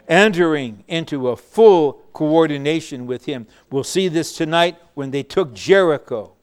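A man preaching a sermon, measured and emphatic, with bursts of shouting. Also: fairly high pitch, clear, resonant tone.

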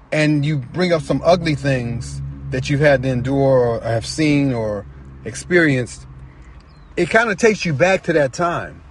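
A middle-aged man talking informally and personally as a storyteller, reflective and halting, repeating phrases for emphasis. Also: medium pitch, husky. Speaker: poet and novelist, featured storyteller.

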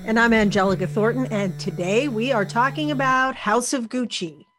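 A woman's voice: fairly high pitch, normal speed, fairly bright tone, soft background music. Teasing female podcast co-host. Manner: laughs easily, teasing. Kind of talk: casual chat.

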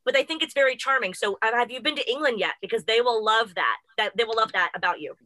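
Woman podcast host, higher bright voice, fast upbeat chat, dry close sound. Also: no echo. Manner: quick, upbeat and talkative. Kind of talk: casual chat.

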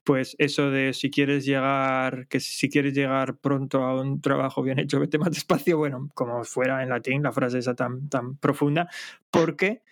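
A man's voice: mid-range voice, little pitch movement, moderate pace. Jokey Asturian podcast host man. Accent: Asturian Spanish. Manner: jokey and chatty, laughs often. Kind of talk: casual chat.

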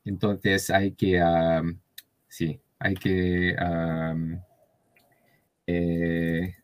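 A man from Taiwan with a Taiwanese accent, a guest speaking Spanish as a learner, halting with many fillers and restarts. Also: low voice, flat delivery. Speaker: interview guest. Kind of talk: casual conversation.

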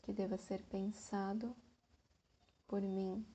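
A woman leads a guided meditation in a soft, breathy voice, speaking in short phrases with long pauses. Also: mid-range voice, little pitch movement.

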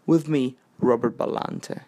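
A young man hosting a podcast, reading a formal introduction and asking questions, with a gravelly voice. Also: mid-range voice, fairly even intonation, a little slow, warm tone. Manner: formal, read-aloud delivery. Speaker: podcast host. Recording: echoey room, faint hiss.

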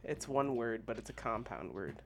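A man's voice: medium pitch, big pitch swings, moderate pace. A man co-hosting a podcast, chatting and bantering about news in an informal, slangy way. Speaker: podcast co-host. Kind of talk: casual banter and news chat.

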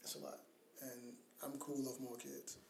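Echoey, mellow man chatting casually. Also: mid-range voice, little pitch movement, moderate pace, gravelly, a little hiss or hum. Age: 30s. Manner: slangy, swearing, teasing banter. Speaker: podcast co-host. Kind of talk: casual chat about parenting. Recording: roomy, echoing sound.

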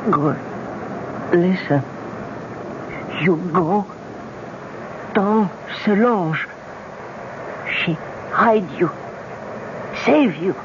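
Very slow, dying foreign king. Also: high voice, exaggerated voice. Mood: weak, urgent. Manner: halting, broken English. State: weak, dying. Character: dying foreign king, gasping out last halting instructions. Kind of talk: 1970s American radio drama acting. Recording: muffled, noisy old radio broadcast recording.

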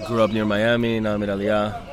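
A man from Venezuela hosting a casual outdoor conversation, pausing often and searching for the right words. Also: on the low side, flat delivery, crowd noise. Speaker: host. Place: outdoors in a city. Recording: noisy outdoor street recording.

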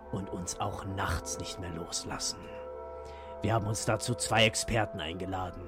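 A man hosting a podcast in a casual, playful, jokey way, with swearing and asides. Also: on the low side. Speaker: podcast host. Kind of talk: casual chat with playful intro reading. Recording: loud music behind the voice. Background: eerie ambient music.

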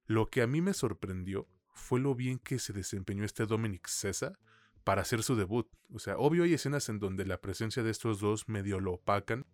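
A Mexican-accented man with a fairly low voice, recorded dry and close, shares a film review as a podcast co-host. He speaks conversationally, in loose slang with the odd swear word. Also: normal speed, no room sound. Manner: informal, slangy, peppered with swearing.

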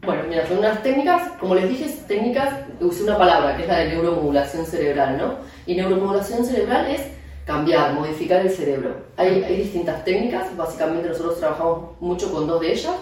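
A woman's voice: fairly low pitch, animated, brisk, a little mellow. A woman with an Argentine accent, a neurologist explaining her work in an interview with frequent fillers and restarts. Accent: Argentine Spanish. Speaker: neurologist guest. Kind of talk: interview answers. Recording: echoey room, distant mic.

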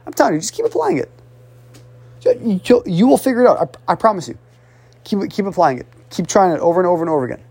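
Animated, sighing male speech coach. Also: high voice, quick pace, husky. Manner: animated, repetitive, urging, with audible sighs. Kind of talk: casual coaching talk.

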